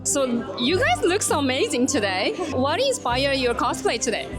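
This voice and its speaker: A woman with a high, very lively voice hosts a casual vlog. She is effusive and admiring as she presents and interviews. Music plays clearly behind her. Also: moderate pace. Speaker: vlog host interviewing attendees. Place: anime convention.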